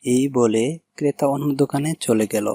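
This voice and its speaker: A man's voice: mid-range voice, moderate pace, warm tone, no echo. A man reading scripted story narration for a cartoon.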